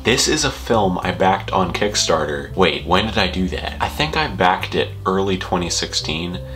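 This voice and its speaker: A man's voice: deep voice, fairly bright tone. A young man telling casual, rambling stories to camera with dry, self-deprecating humor. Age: young adult. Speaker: vlogger.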